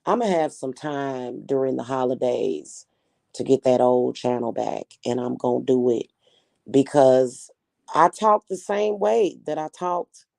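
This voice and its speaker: A woman's voice: a bass voice, gravelly, dark tone, no room sound. A woman in her forties with a Kansas City Midwestern accent, chatting casually with viewers on a livestream in a rambling, slangy, frank way. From Kansas City, Missouri. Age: 40s. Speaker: livestream host reading chat. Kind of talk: casual chat.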